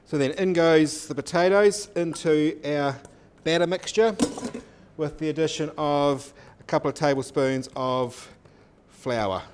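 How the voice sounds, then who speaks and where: A man's voice: on the high side, unhurried, a little hiss or hum. A man, a cooking teacher explaining each step as he cooks in a teaching kitchen. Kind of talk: cooking demonstration. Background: oil sizzling in a pan.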